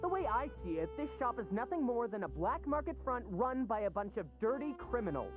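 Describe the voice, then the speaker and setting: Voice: medium pitch, very animated, fairly quick, phone-quality sound, close to an everyday voice. An actor playing a rude, indignant customer in a video game, scornful and accusatory. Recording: music behind the voice.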